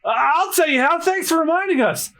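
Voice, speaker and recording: A man voicing rowdy pirate thugs, loud and boastful in a squeaky raised voice. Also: animated, moderate pace, full character voice. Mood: blustering.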